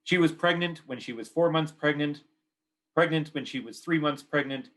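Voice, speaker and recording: A man's voice: fairly high pitch, moderate pace, fairly bright tone. Canadian man reading poetry. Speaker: poet reading own work.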